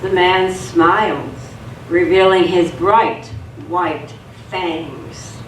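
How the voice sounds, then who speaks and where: A woman's voice: lowish voice, very slow. A woman reading scripted narration for a live radio theatre drama. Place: stage performance in a roomy hall. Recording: echoey hall, distant mic, noisy.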